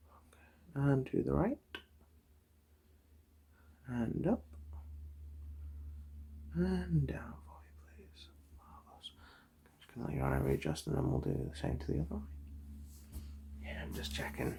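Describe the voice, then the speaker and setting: A person whispering softly in an ASMR eye doctor roleplay, giving gentle, polite, reassuring instructions in a breathy voice. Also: medium pitch, wildly swooping pitch, measured pace, long pauses.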